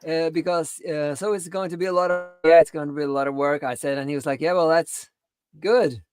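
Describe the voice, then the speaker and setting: Halting, teasing woman telling rambling anecdotes. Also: low voice, fairly even intonation, a little mellow. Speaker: livestream co-host. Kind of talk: casual chat, rambling anecdotes.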